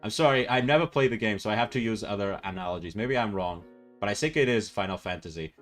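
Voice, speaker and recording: Man, twenties, low voice, rambling casual stream chat, self-correcting, faint music behind. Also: expressive, fast talker, a bit bright. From Portugal. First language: Portuguese. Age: 20s. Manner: rambling and self-correcting, with frequent fillers and laughs. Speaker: livestreamer. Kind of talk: casual live-stream chat reading viewer comments.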